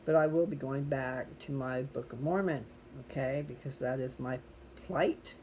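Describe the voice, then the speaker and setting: Mellow, folksy rambler with background noise. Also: medium pitch, moderate pace. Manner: chatty, folksy, digressive. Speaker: host reading scripture aloud for viewers. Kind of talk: casual rambling personal talk to viewers.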